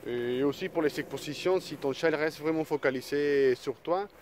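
A man's voice: a little high, moderate pace. Man teaching dog walking outdoors. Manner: hesitant, many restarts and fillers. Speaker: dog trainer. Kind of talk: casual spoken tutorial. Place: outdoors in a grassy park. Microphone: phone held at arm's length. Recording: faint outdoor background noise.

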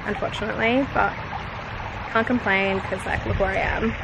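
A woman's voice: medium pitch, steady tone, moderate pace, vocal fry, noisy background. A young woman, chatting casually and laughing often as she vlogs to a hand-held camera at a tropical resort. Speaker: vlogger. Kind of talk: casual travel vlog to camera. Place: tropical resort, outdoors and hotel room. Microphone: camera held at arm's length.

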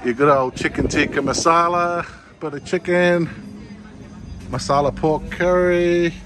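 A man's voice: fairly high pitch, steady tone, a little slow. A man narrating a walking tour of a food court, exclaiming with enthusiasm over the dishes. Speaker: vlogger touring a food court. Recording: noisy outdoor street recording.

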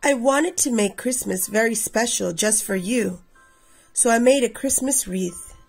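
A woman in her thirties reading a picture book aloud expressively for children, with a slightly husky, creaky voice. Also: on the high side, unhurried, faint music behind. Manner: addresses children directly. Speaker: read-aloud storyteller for children.

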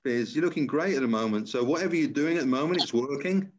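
A man's voice: mid-range voice, fast talker. A middle-aged man chatting casually on a video call like a motivational trainer, repeating his phrases to encourage. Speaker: personal trainer and interviewer. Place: small room indoors. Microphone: computer on a video call. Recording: dull lo-fi video-call audio.

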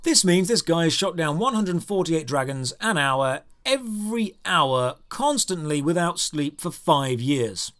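High-pitched man, dryly sarcastic. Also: animated, measured pace, few pauses, fairly bright tone. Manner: dry, exasperated sarcasm. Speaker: video game reviewer. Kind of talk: scripted review narration over gameplay.

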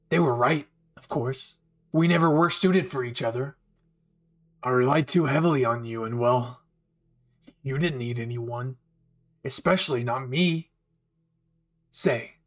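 Man acting unhinged, bitter villain, slow and menacing. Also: medium pitch, pitched below the performer's own voice, close to an everyday voice. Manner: menacing, taunting, swinging from quiet to outbursts. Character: unhinged, bitter male villain nursing an old betrayal. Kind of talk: dramatic anime fan roleplay audio.

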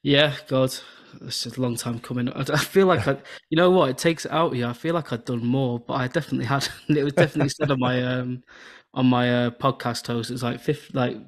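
Soothing-voiced English man, flat delivery. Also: mid-range voice, a little fast. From southern England. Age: 30s. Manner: soothing, relaxed cadence. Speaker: podcast host. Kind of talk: casual chat over a video call. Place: home room with shelves and lights. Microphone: podcast microphone on video call.

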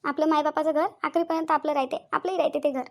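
A woman's voice: high voice, fairly even intonation, quick pace, vocal fry. A woman playing a tender, earnest young married village woman in a family drama, with a Varhadi Marathi accent and an emotive, pleading way of repeating phrases. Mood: wistful. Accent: Varhadi Marathi from Vidarbha. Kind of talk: amateur family drama acting.

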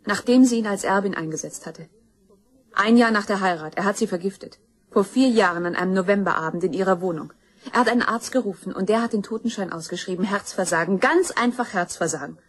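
Actress doing young woman out for justice, crime radio drama, husky, insistent and accusing. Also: medium pitch, fast, lightly acted. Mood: grave, accusing. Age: young adult. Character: grim, determined young woman seeking justice for her murdered mother. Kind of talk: German crime radio play acting.

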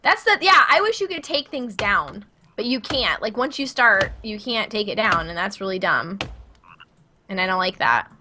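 A woman's voice: medium pitch, sing-song, normal speed, pushed and bright, a little hiss or hum. A woman with a Midwestern American accent, chatting casually as she streams a co-op video game. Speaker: gaming streamer. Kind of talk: casual co-op gameplay chat.

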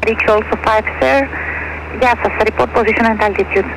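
High, flat Greek male radio controller. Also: quick pace, creaky. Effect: aviation radio. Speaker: air traffic information officer. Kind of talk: procedural radio communication. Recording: aviation radio with faint noise.

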